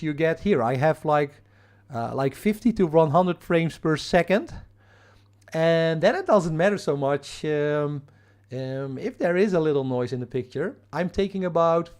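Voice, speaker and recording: A man from the Netherlands with a Dutch accent, hosting a live astrophotography stream, explaining in a casual, halting way with many fillers and restarts and answering viewer chat. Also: on the high side, a little mellow. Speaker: astrophotography livestream host.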